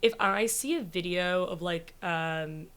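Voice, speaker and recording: A woman with a naturally raspy voice chatting casually on a podcast, talking in a rush, full of likes and restarts, often laughing. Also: on the low side, unhurried, fairly bright tone. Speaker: comedian guest.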